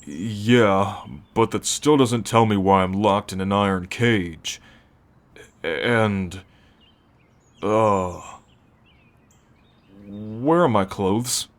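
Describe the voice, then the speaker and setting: A man playing an anxious, confused, newly bitten werewolf held in a cage, speaking hesitantly in an ASMR roleplay. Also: on the low side. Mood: confused, wary. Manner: hesitant, halting.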